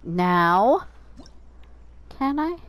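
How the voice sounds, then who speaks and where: A woman's voice: medium pitch, big pitch swings, drawn-out words, dry recording. A woman streaming a game with casual, muttered, self-deprecating commentary and some swearing.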